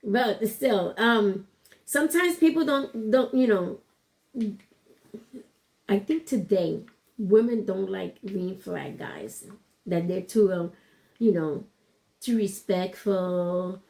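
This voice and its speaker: A woman's voice: medium pitch, slow pace, vocal fry, pauses often, darkish tone, poor audio. A woman co-hosting a podcast in casual conversation, blunt and frank in her opinions. She often hesitates, with "um" and unfinished thoughts. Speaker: podcast co-host. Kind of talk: casual chat.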